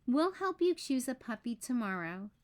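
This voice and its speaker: A woman voicing cautious, patient parents in a children's picture book, in a measured, questioning way. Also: a little high, very animated, darkish tone.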